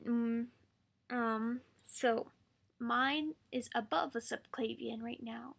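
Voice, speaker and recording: A woman losing her voice, speaking softly and haltingly with frequent pauses as she answers a viewer's question. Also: on the high side. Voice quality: creaky, hoarse. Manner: soft-spoken, halting with frequent ums. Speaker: patient sharing her experience. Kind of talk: casual video answer to a viewer.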